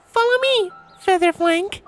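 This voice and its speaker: A man voicing a playful, teasing young female pony in a storybook, with a breathy, smirking delivery. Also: very high voice, a little slow, fairly bright tone, faint music behind, voice pushed up. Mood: playful, bossy.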